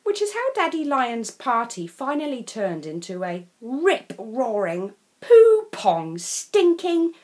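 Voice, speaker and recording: A woman reading a children's storybook expressively, leaning on key words with deliberate emphasis. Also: on the high side, wildly swooping pitch, darkish tone. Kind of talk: scripted storybook narration for children.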